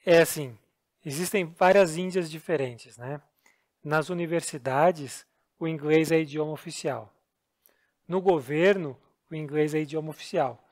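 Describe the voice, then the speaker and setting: A man's voice: fairly high pitch, a little slow. A Brazilian man with a Brazilian Portuguese accent, co-hosting a podcast and telling travel stories in an earnest, explanatory, self-deprecating way. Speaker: podcast co-host. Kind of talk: casual storytelling chat.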